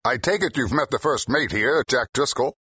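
A zestful, impatient film director in his thirties for an audiobook drama. He snaps out orders in a sharp, husky voice that jumps around energetically. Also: mid-range voice, lively intonation, moderate pace, dry recording, heavily acted. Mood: brisk, commanding. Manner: snappy, commanding. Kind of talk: full-cast audiobook acting. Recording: dull, slightly muffled audio.

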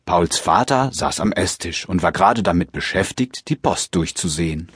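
A man's voice: sits low, expressive, moderate pace, a bit bright, a little hiss or hum. A man reading a scripted narration of a children's story as the narrator. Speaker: audiobook narrator.

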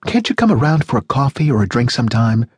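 A man voicing a cheerful, shrill, high-pitched American housewife who gushes and chatters gaily in an audiobook. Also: big pitch swings, fast talker, breathy edge, no room sound. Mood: gushing. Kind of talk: audiobook reading.

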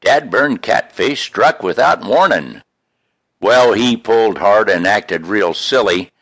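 A man reading his own poems in an expressive, folksy, homespun way, with casual introductions between them. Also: on the low side, slow pace, husky, no room sound. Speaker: poet reading own work.

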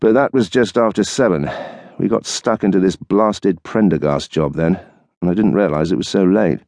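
A man's voice: deep voice, moderate pace, darkish tone, no echo, clean studio sound. A man voicing a playful, joking husband in light, teasing banter. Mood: wry.

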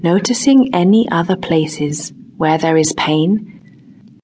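A woman narrating a scripted guided relaxation exercise in a gentle, unhurried manner, leaving long pauses between short phrases. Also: fairly low pitch, expressive, husky. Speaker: audiobook narrator, meditation guide.

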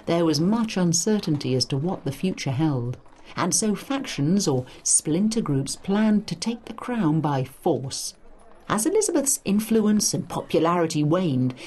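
A woman narrates an educational audio guide as if telling a story. Her voice sits a little low and has a husky edge. She moves expressively up and down in pitch, stressing key points as she explains. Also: mellow. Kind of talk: scripted educational narration in dialogue.

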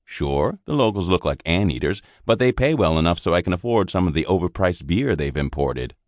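A man's voice: deep voice, big pitch swings, fairly quick, no room sound, studio quality. A man voicing a naive, eager young army private who asks earnest questions. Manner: wide-eyed, earnest questioning.

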